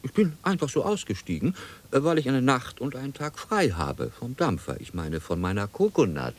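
A gallant, dreamy steamship captain, acted by a man in a radio drama. His mid-pitched voice swings very widely as he speaks with old-fashioned, slightly hesitant courtesy, over a faint noise. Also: very animated, moderate pace, lightly acted. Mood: casual, hesitant. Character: courtly, wistful male steamship captain with aching back. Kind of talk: German radio play acting.

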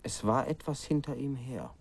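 An actor playing a village storyteller who recounts a grim legend in a radio drama, grave and suspenseful. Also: male voice, medium pitch, moderate pace, vocal fry, background noise, natural voice. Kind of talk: dramatic narration in a radio play.

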